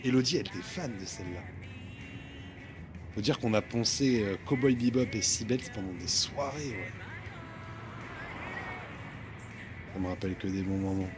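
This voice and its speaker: A man's voice: on the low side, fairly quick, over music, lightly acted. A man playing a guilty cat owner, stammering. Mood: nostalgic. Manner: rambling, stammering, swears under his breath. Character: young man, the cat's owner, driving, guilty and torn. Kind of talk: audio drama acting.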